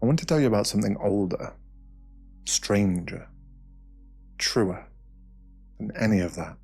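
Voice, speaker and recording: Deep-voiced British man, hushed meditation narration. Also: expressive, creaky, slightly rough, faint music behind. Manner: slow, hushed, soothing delivery with short phrases and pauses. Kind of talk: ASMR guided meditation, scripted narration.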